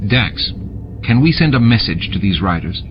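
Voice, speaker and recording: A man voicing a composed male station commander in an audiobook, measured and authoritative. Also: lowish voice, very animated, lo-fi sound, noisy background. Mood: reasoning. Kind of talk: audiobook character dialogue.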